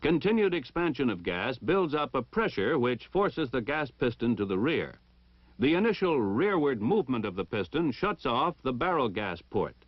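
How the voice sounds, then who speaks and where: A man's voice: mid-range voice, big pitch swings, dry recording. An American man reading a formal, precise instructional narration in the style of a 1960 military training film. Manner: formal, precise, measured enunciation. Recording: dull 1960 film soundtrack.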